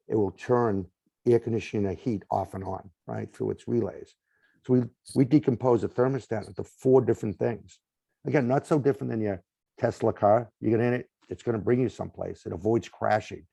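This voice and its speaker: Gravelly low Boston man explaining tech. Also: a little fast, dark tone, no echo. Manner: explanatory, lists items, frequent "right?" tags. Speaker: smart home company guest. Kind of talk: conversational interview.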